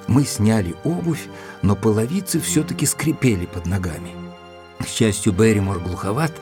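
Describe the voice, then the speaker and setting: An actor playing an earnest, mildly proud gentleman assistant to a detective, telling the story in a measured, literary way in an audio drama. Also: fairly low pitch, close to an everyday voice.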